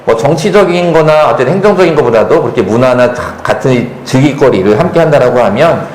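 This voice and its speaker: A man moderating a panel talk concert, relaxed and joking, filling pauses with hums and affirmations. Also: medium pitch. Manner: relaxed, jokey, lots of fillers and affirming hums. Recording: echoey hall with faint noise.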